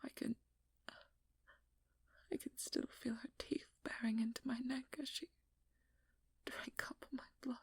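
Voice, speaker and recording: A woman performs a haunted vampire hunter, a determined woman baring her tragic past in an intimate ASMR role-play. Her whisper is very breathy and husky, close and dry. She speaks very slowly, in pained, broken phrases. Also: fairly high pitch, flat delivery, no room sound. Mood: anguished, tearful dread. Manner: slow, halting, pained confession in short broken phrases.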